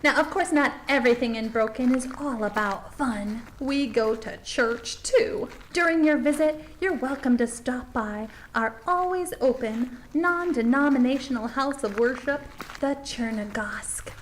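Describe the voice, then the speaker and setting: Woman playing high-voiced documentary interviewer, noisy recording. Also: measured pace. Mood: chatty, presenting. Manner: polite, chatty, slightly bemused. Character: polite, admiring small-town documentary interviewer. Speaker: host. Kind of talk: comic mockumentary interview.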